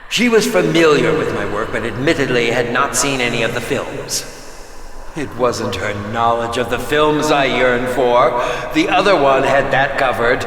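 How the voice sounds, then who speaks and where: An actor playing a menacing, sadistic horror film director in a video game, theatrical and drawn-out in delivery, in an echoey space. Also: male voice, mid-range voice, close to an everyday voice. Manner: flamboyant, drawn-out, swinging from hushed to shrieking. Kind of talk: theatrical game villain monologue and taunts. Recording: echoey reverberant hall with background noise.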